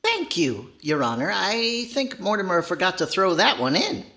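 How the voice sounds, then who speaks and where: A man's voice: high voice, sing-song, moderate pace, sharp tone, exaggerated voice. A man playing a cheeky, wisecracking wooden ventriloquist's dummy boy in 1940s radio comedy style. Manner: wisecracking, snappy.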